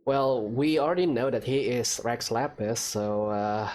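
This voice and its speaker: A man's voice: medium pitch, unhurried, darkish tone. A man hosting a game playthrough, reading dialogue choices and reacting in an offhand, hesitant way. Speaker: gaming video host.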